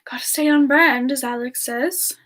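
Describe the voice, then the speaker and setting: A woman voicing a gentle, affectionate female frost demon in a semi-ASMR fan Q&A, soft-spoken and hesitant, drifting toward whispers. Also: high-pitched, normal speed. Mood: relaxed, chatty. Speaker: ASMR character performer answering viewer questions. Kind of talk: semi-ASMR in-character livestream Q&A.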